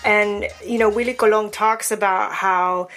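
A woman's voice: medium pitch, fairly even intonation, unhurried, a bit bright, soft background music. A woman from the United States, a documentary maker and audio engineer, speaking casually and earnestly. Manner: casual, earnest, uses fillers.

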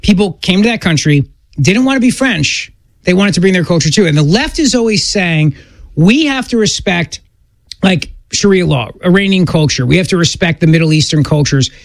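A man hosts a political talk radio show in a conversational style. His voice is somewhat high for a man, with punchy little affirmations. It sounds dry, with no room echo. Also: animated, normal speed.

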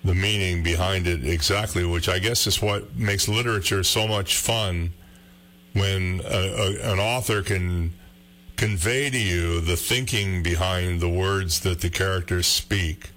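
A middle-aged radio man with a deep, low baritone, talking in an easygoing way and gently poking fun at himself as he works through his thoughts. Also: steady tone, a little slow. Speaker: radio host. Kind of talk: casual conversation.

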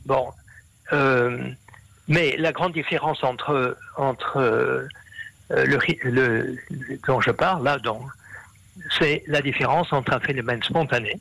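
Hesitant man with a gravelly voice. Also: mid-range voice, takes it slowly, a bit bright, low-quality recording. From half Brazilian. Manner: hesitant, many fillers and restarts. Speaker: interview guest, scholar. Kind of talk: explanatory interview talk.

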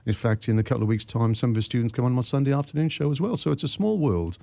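A man's voice: mid-range voice, expressive, racing, creaky, warm tone, dry recording. A man who grew up in Wales, hosting a radio show and chatting enthusiastically with a guest. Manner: enthusiastic, chatty, leading questions. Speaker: radio host. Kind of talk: radio interview.

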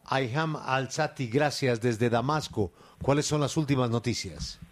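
A Colombian man hosts live news radio in a medium-pitched voice. He puts questions to guests and hands over to colleagues on air. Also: a little slow. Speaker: radio news host and interviewer.

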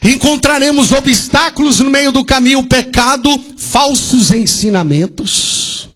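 High-voiced, slow-preaching male pastor. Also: fairly bright tone. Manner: impassioned preaching with rhetorical questions and hallelujahs. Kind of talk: sermon. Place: church service.

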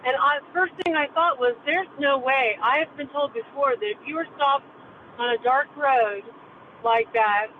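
High-voiced woman on a crackly phone. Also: normal speed. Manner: emphatic, insistent. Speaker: caller. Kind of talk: phone-in commentary. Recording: tinny cutting-out phone line with faint noise.

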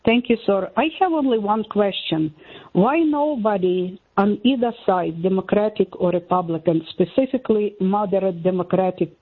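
A woman with a Ukrainian accent calls in over a thin, tinny phone line. Her voice is husky, and she presses her points earnestly and with emphasis. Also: mid-range voice, normal speed. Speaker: caller. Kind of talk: call-in question. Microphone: telephone.

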